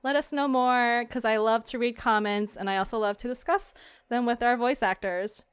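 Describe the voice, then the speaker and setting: A woman's voice: a little high, steady tone, moderate pace, clean tone, dry recording. A woman hosting a podcast in a casual, chatty style, with a giggly manner. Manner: giggly, laughs often. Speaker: fiction podcast creator and host. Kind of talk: casual chat.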